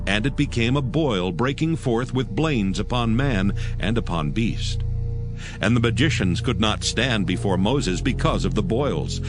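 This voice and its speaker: A man's voice: mid-range voice, close to an everyday voice. A man narrating a dramatized scripture reading in a solemn, scripted style. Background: music.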